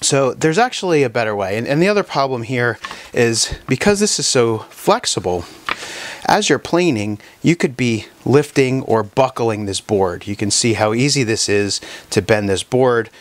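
Man explaining at a workshop bench. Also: medium pitch. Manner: relaxed, halting, many fillers and restarts. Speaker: woodworking teacher. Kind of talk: casual workshop demonstration. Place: woodworking shop, indoors.

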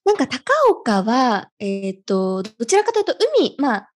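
A woman's voice: on the high side, very animated, normal speed. A woman co-hosting a podcast, chatting casually in a polite, conversational way. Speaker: podcast co-host. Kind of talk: casual chat.

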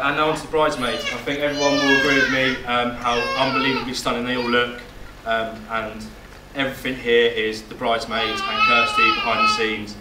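A young man giving his groom's speech at a wedding reception, reading his notes, joking and at times emotional. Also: mid-range voice. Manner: emotional, voice catching at moments, joking. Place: wedding reception hall, indoors. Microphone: far camera, no mic. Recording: echoey hall, distant camera, loud noise.

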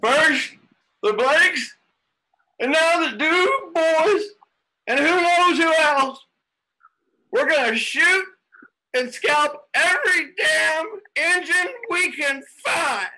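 Bellowing drunken posse rider. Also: male voice, very high-pitched, pitched above the performer's own voice, exaggerated voice, rough recording. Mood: furious. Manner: shouting, drawn-out delivery.

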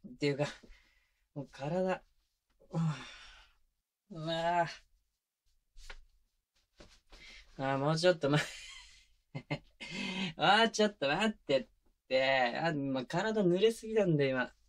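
A man's voice: a little slow, long pauses, lightly acted. A man playing a teasing, doting young boyfriend in an intimate ASMR roleplay, talking casually and playfully with bits of baby talk, close to a binaural mic. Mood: flustered, playful. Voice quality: mid-low voice. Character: teasing, doting young boyfriend babying his exhausted, clingy girlfriend. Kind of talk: intimate ASMR roleplay drama, addressed to the listener. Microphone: close binaural microphone.

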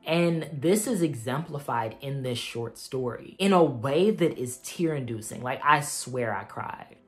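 A man's voice: medium pitch, animated, measured pace, faint music behind. Earnest young man reviewing books. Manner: earnest, heartfelt, with frequent um and like fillers. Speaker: book reviewer. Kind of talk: casual book review vlog. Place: small room at home with a bookshelf, indoors.

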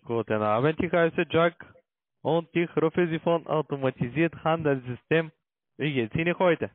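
A man's voice: on the high side, normal speed, dry recording. A man with a foreign accent in German making a blunt, pushy telephone sales call. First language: not German. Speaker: call center sales agent. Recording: thin, dry phone line.

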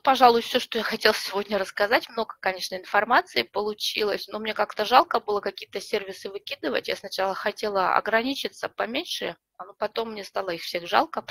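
A middle-aged woman presenting an online webinar from home, explaining a tool step by step in a friendly way with frequent pauses and fillers, a slight cough lingering. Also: medium pitch, creaky, fairly bright tone, no room sound. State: recovering from a cough. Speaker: webinar presenter, librarian and teacher. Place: home room with bookshelves. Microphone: webcam video call.

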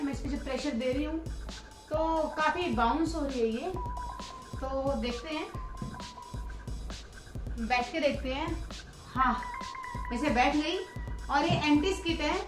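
A young adult woman, giving a casual, explanatory product review to camera and mixing in English words. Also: sits high. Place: living room at home, indoors. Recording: echoey room, music behind.

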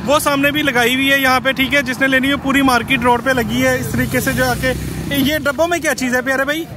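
High-pitched Pakistani man vlogging on the street. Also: steady tone, fast. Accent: Pakistani, Lahore. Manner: repeats prices back to viewers. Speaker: vlogger host. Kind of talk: casual street market vlog, presenting prices. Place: outdoors on a roadside. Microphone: phone or camera at arm's length. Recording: clear street and traffic noise.

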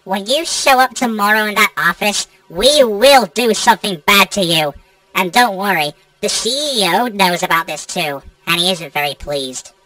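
Woman as a brash, lively animatronic rapper. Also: mid-range voice, moderate pace, no room sound, distant mic, poor audio. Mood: indignant. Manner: slangy, indignant. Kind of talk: video game character voice lines.

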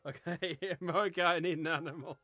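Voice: medium pitch, steady tone, moderate pace, sharp tone, faint music behind. The host of a word game, chatting casually with friends in a giggly, exclaiming, bantering way. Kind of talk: casual joking chat.

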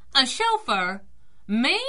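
A feisty young working-class woman driver from a 1930s radio serial. Her voice is high, bright and very animated. She talks in sassy slang and wisecracks. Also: heavily acted. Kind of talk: 1930s radio drama acting.

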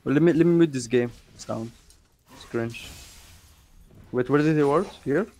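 Young male gamer on headset chat; giggly, needling and excitable. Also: medium pitch, normal speed. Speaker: gamer streaming his match. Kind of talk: casual voice chat while gaming.

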